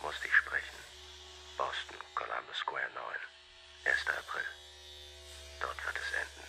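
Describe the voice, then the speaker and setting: Desperate secretive man, whispered, extremely deep, monotone, gravelly, ominous radio drama. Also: unhurried, slight background noise, exaggerated voice, rough recording. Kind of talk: German radio play acting.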